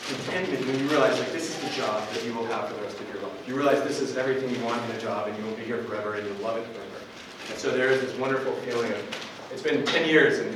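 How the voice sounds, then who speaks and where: A man in his forties from Buffalo, New York, giving a relaxed public lecture as a professor, with frequent ums and restarts. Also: medium pitch. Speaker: university professor. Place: campus lecture hall. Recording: echoey hall from far off with noise.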